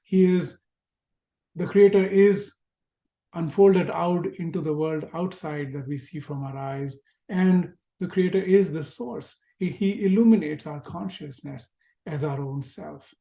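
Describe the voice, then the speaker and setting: Indian-accented male teacher, mellow and a touch high, lecturing gently in a roomy echo on a video call. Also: measured pace, vocal fry. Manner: reflective, gentle, with rhetorical questions. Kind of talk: spiritual lecture to an online class.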